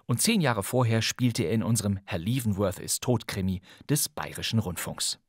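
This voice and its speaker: A middle-aged man hosting a podcast with scripted, playful narration, wry and given to theatrical emphasis and pauses. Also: lowish voice, animated, no echo, clean studio sound. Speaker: podcast host. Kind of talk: scripted, playful presentation on radio drama history.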